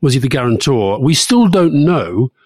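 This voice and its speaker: A man's voice: medium pitch, big pitch swings, moderate pace, a little mellow, no echo, clean studio sound. A man co-hosting a political podcast, talking casually and often repeating words as he starts a sentence. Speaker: podcast co-host.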